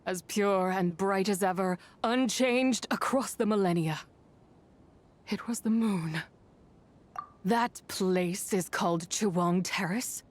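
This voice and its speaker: An actress playing an ancient, wrathful female spirit in a video game, speaking solemn, archaic lines with brooding, imperious drama. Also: mid-range voice. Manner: solemn, archaic, theatrical phrasing. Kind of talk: dramatic scripted game dialogue.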